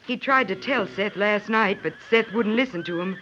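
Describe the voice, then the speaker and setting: An actress playing an earnest pioneer wife in an old radio western, speaking formally. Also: female voice, medium pitch, normal speed, natural voice. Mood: grateful. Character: earnest, grateful pioneer wife on a wagon train. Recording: dull vintage radio recording, faint noise.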